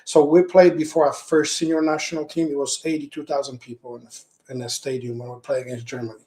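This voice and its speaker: A man's voice: on the high side, level tone, quick pace, dark tone. A man from Poland, answering interview questions earnestly, often restarting his phrases as he tells stories. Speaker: interview guest, former pro goalkeeper. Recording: echoey room.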